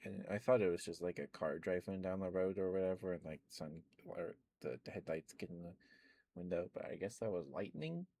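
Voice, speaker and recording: A young man, an ASMR streamer, rambling softly in casual chat with frequent chuckles. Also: low-pitched, moderate pace, a little hiss or hum. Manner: soft-spoken, rambling, chuckles often.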